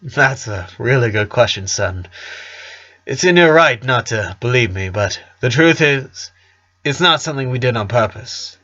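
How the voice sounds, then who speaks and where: An easygoing middle-aged dad acted by a male reader from a storybook, chatty and teasing as he explains things. His voice is mid-pitched, on a slightly muffled recording. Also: lively intonation, unhurried, pushed and bright. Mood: easygoing, reminiscing.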